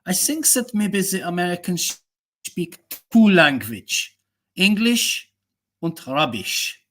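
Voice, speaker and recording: Man doing a mock German professor, pompous, bossy, bright voice, slow grand declarations. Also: high-pitched, very animated, exaggerated voice. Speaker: guest. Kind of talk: comic live stream banter.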